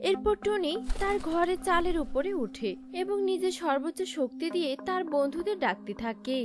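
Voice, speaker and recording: A woman with a high voice narrates a story from a script. She works as the narrator of a cartoon tale. Faint music runs beneath her words. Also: expressive, normal speed.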